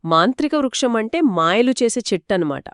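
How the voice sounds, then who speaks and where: A woman narrating a moral folktale in an expressive, lively storytelling manner. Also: on the high side, brisk, clear, resonant tone, no room sound, clean studio sound. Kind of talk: scripted two-voice folktale narration.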